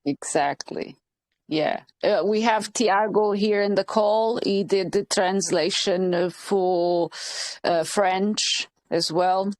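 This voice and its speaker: A woman from Portugal leads a casual group call over a video link. She talks slowly and haltingly, with lots of fillers and restarts. Her voice is mid-pitched, and the sound is dry and close. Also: no room sound. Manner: hesitant, many fillers and restarts. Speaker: channel host leading a group call.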